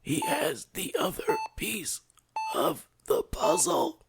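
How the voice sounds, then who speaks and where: A man's voice: very high-pitched, big pitch swings, takes it slowly, creaky, heavy background noise, heavily acted. An actor playing a frail, drugged old uncle who murmurs weakly and trembles as he drifts in and out of consciousness. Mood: delirious. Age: older. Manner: weak, trembling, fading murmur.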